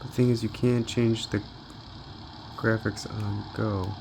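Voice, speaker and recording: A man's voice: on the low side, little pitch movement, takes it slowly, creaky, background noise. A man giving casual gameplay commentary as a handheld gaming reviewer, informally thinking aloud as he tests. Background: video game music.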